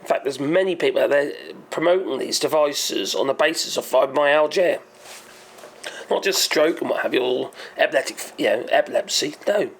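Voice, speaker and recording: A hoarse man hosting his own channel, explaining a topic in a rambling, hesitant way and often correcting himself. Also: mid-range voice, normal speed. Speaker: channel host. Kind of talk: casual explainer monologue.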